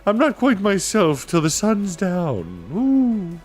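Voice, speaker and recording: A big, flustered storybook owl, hooting in a very high voice that swoops widely. Vowels are stretched out and fussy, with a muttering courtly manner. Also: male voice, huge pitch swings, soft background music, full character voice. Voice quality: hooting, drawn-out falsetto vowels. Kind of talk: storybook character voice.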